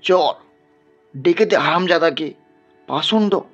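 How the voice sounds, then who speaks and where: Man putting on a loud, scolding Brahmin priest. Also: a little high, unhurried, highs cut off, faint music behind, pitched above the performer's own voice. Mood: shouting, furious. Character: angry, scornful Brahmin priest bullying a poor villager.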